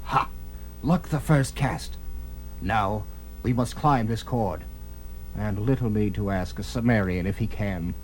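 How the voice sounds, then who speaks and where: A man's voice: on the low side, unhurried, long pauses, soft background music, close to an everyday voice, low-quality recording. A man playing a cocky, daring master thief with swaggering archaic speech in a dramatized fantasy audio story. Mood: confident.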